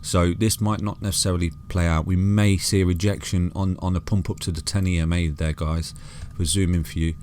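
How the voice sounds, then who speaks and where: A man's voice: deep voice, fairly even intonation, a little fast, few pauses, darkish tone, a little hiss or hum. A man with a soothing voice walks through crypto charts in a casual, chatty way, calling listeners "guys" and "mate" and restarting phrases often.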